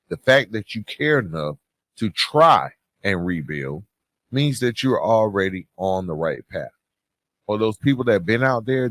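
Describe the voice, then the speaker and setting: A man with a fairly low voice that moves through a lively range of pitch. He speaks as a podcast host giving earnest, measured advice straight to his listeners. The recording is dry and close, with no room sound. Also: no echo. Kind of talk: reflective advice talk to listeners.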